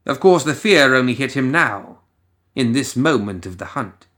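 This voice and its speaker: A man giving a dramatic, scripted story narration in a deliberate, theatrical manner. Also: mid-range voice, expressive, a bit bright. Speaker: audiobook story reader.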